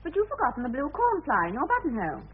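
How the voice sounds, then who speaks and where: An actress playing a businesslike, insistent secretary in a radio comedy, reeling off instructions briskly and efficiently. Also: female voice, high voice, wildly swooping pitch. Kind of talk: radio comedy drama acting. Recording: muffled, phone-like radio drama recording.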